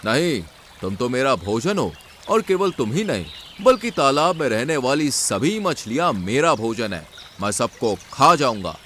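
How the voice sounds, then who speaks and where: A man's voice: medium pitch, sing-song, unhurried, a bit bright, a little hiss or hum. A man voicing an arrogant, cruel, greedy heron in a cartoon story, boastful and menacing. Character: arrogant, cruel, greedy male heron, newly young again.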